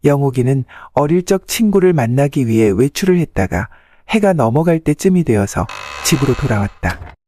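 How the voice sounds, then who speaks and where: A man's voice: mid-range voice, sing-song, moderate pace, mellow, no echo, studio quality. A man narrating a folktale from a script as the storyteller.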